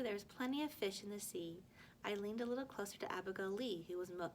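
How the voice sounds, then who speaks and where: Female read-aloud storyteller, teacher type, mid-pitched, expressive but unpolished, slight background rumble. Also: low-quality recording. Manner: expressive reading with stumbles and self-corrections. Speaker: teacher reading a book aloud to a class.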